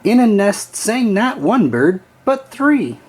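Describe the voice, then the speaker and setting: A man reading a children's storybook aloud with an expressive, sing-song storytelling delivery. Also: high voice, slow pace, a little hiss or hum. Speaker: storybook reader.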